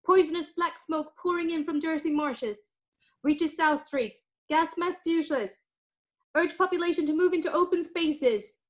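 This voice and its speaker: A woman's voice: extremely high pitch, moderate pace. A woman playing an urgent emergency announcer in a radio drama, giving clipped, telegraphic warnings.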